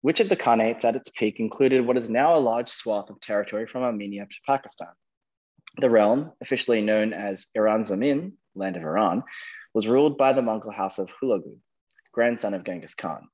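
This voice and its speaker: A man's voice: fairly low pitch, fairly quick, darkish tone, highs cut off. A man hosting a quiz, reading out questions and giving verdicts on answers. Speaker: quizmaster.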